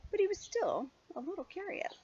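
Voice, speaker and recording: A mature woman reading a picture book aloud to a child in an animated, playful way, with chatty asides. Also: very high-pitched, fairly quick. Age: middle-aged or older. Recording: dull lo-fi home recording, faint noise.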